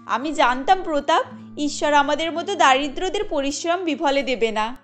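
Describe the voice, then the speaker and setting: A woman's voice: high-pitched, expressive, normal speed, faint music behind. A woman voicing a poor farmer's supportive, hopeful wife in a cartoon. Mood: delighted.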